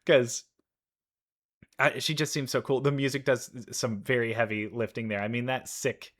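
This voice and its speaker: A man's voice: medium pitch, steady tone, moderate pace, a bit bright. A man giving casual, unscripted commentary as host of a reaction video. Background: faint music.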